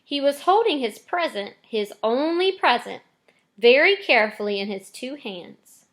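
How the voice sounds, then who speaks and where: A woman's voice: high-pitched, big pitch swings, measured pace, a bit bright. A woman reading a children's storybook aloud expressively, like a teacher to students.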